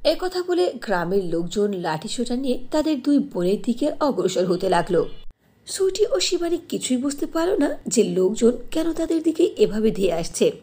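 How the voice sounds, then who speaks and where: A woman narrating a scripted fairy tale as a storyteller, with a husky voice. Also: a little high, sing-song, normal speed, mellow.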